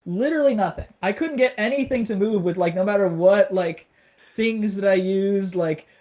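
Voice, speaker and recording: A man's voice: sits high, normal speed, darkish tone. A young adult man talking as a guest in a casual conversation, with a loose manner full of "like" fillers. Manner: casual, filler-heavy with frequent "like". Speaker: guest, social media creator.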